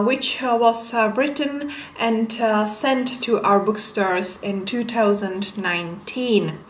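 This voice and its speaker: A woman's voice: a little high, a bit bright, a little hiss or hum. A young woman with a Czech accent, a native Czech speaker, casually reviewing a book in a small room with many filler sounds. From Czech Republic. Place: small room with window, indoors. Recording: echoey room.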